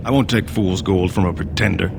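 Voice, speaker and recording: An actor playing a world-weary, blunt and sardonic dwarf warrior commander in a video game. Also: male voice, a little fast, creaky. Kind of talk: video game dialogue acting. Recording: game audio with background ambient rumble.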